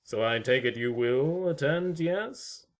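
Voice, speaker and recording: A man's voice: mid-range voice, lively intonation, takes it slowly. A man playing a courteous, mysterious tenant in a fan audio drama, stiffly formal and wordy.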